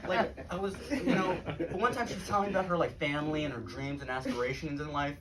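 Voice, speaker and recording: A teenage man from Los Angeles doing a deadpan live stand-up set, often laughing at his own jokes. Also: fairly high pitch, quick pace, over a noisy crowd. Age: teens. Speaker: stand-up comedian. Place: comedy club stage, live audience. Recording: noisy live room, mic at a distance.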